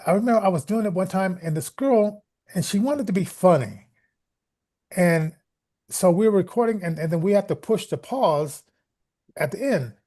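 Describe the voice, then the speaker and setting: A middle-aged American man with a mellow, slightly higher-pitched voice tells stories in a casual chat. He speaks haltingly, circling back on his words, and livens up when he quotes people. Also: expressive.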